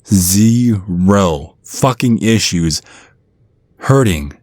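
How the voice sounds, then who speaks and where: A man performs a centuries-old vampire bodyguard in an intimate ASMR roleplay. His male voice sits low, with a gruff, brooding earnestness that is fiercely protective. The sound is dry, with no room around it. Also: expressive, drawn-out words, a little mellow, no echo, full character voice. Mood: bitter, stern.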